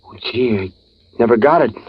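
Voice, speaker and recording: A man's voice: medium pitch, expressive, normal speed, a crowd in the background, natural voice. An actor playing a naive, eager young working man, earnest and hesitant, in 1940s radio style. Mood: flustered, protesting. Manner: hesitant, stammering, boyish. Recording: muffled vintage radio recording with music.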